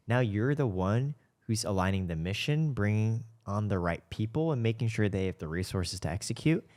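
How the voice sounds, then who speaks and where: A man with a fairly low voice hosts a casual, candid podcast talk. He reflects out loud in a conversational way, often posing rhetorical questions. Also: expressive, normal speed. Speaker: show host and company co-founder.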